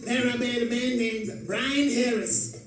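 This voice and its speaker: A man's voice: very high-pitched, steady tone, a little slow, over music. A man playing a bewildered, boastful 1940s private eye in a theatrical, wisecracking old-time radio monologue. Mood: boastful, amazed. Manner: melodramatic, wisecracking diary narration. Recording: echoey room, distant microphone.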